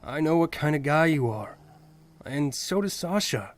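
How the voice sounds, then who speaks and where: An actor playing a blunt, teasing but loyal young friend in an amateur anime-parody audio drama, with a dry, casual delivery. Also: male voice, a little high, lively intonation, normal speed, lightly acted. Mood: frank reassurance.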